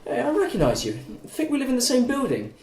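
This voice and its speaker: A man's voice: very high voice, huge pitch swings, fast talker, creaky, a little mellow, heavily acted. A young man playing a sociable, exasperated student party host in a script read-through. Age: young adult. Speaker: student cast member at a table read. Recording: roomy, echoing.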